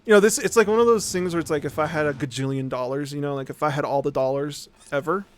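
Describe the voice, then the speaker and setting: A man hosting a livestream, rambling casually in an animated, sarcastic, sweary storytelling way. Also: a little high, fast, slight background noise. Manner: acts out mocking quotes. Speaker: livestream host.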